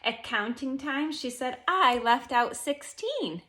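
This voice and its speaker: A woman in her forties reading a children's picture book aloud expressively, with deliberate, emphatic phrasing. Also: high-pitched, animated, fairly bright tone. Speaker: storybook reader. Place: living room at home, indoors.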